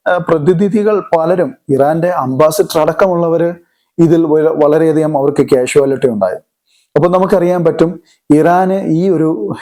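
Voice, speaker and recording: A man explains current affairs as a commentator, deliberately, repeating words for emphasis. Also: on the high side, dark tone. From Kerala, India.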